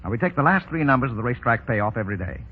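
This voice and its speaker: An actor playing a cocky, shrewd racketeer in a 1930s radio drama, sardonic and full of clipped slang. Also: male voice, mid-range voice, big pitch swings, very fast, husky. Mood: persuasive. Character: cocky, shrewd tough racketeer, wry and commanding. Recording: dull old 1930s radio broadcast.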